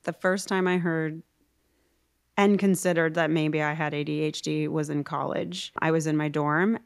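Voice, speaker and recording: A woman in her thirties, a podcast guest, telling her own story in a reflective, halting way with small laughs. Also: sits low, clean tone, no room sound, clean studio sound. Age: 30s. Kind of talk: candid personal storytelling.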